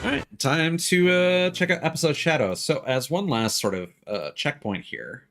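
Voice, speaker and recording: A man giving casual gameplay commentary, thinking aloud in a halting way. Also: fairly high pitch, lively intonation, fairly bright tone. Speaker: game streamer. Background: video game music.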